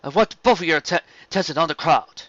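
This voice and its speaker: A man voicing a male pony guard officer, authoritative and deadpan, in an audiobook story. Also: a little high, fast, no echo, lo-fi sound, voice pushed up. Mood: commanding.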